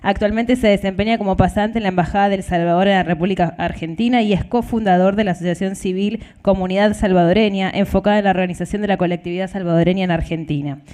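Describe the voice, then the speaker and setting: A woman moderating an event, formally introducing the next speaker and reading slowly and clearly. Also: medium pitch, warm tone.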